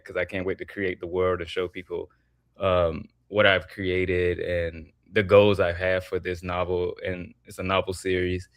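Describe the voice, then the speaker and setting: Brief: male guest, very low voice, dry close sound, easygoing and sincere. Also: no room sound. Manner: relaxed, earnest, loose phrasing with "like" and "um". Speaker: guest, artist and former wrestler. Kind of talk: casual interview chat.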